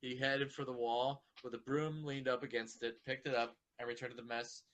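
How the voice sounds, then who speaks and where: A man's voice: mid-range voice, flat delivery, normal speed, fairly bright tone, rough recording. Man informally narrating fan fiction. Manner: stumbles over words, swears.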